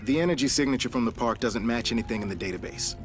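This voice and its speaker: Guy as cautious brainy game hero, serious, quick talker, normal pitch, bit of music. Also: close to an everyday voice. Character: cautious, analytical male superhero, serious. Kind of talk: video game acting.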